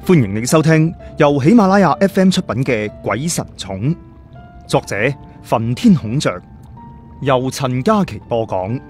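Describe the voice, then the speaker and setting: A man's voice: medium pitch, sing-song, unhurried, darkish tone, soft background music. A man narrating a scripted audiobook story as a storyteller.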